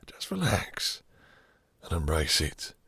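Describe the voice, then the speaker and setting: A man playing a possessive, domineering vampire master in an intimate roleplay audio drama, with slow, theatrical, condescending menace and a breathy, seductive purr. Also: a bass voice, huge pitch swings, bright, forceful tone, in a whisper, full character voice. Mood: sensual, predatory.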